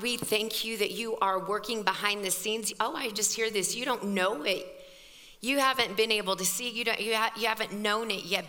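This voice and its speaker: A woman pastor with a scratchy, hoarse voice, preaching a fervent, conversational sermon. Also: mid-range voice, pushed and bright. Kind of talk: sermon at a women's evening service. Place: church hall. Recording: long reverb of a large hall.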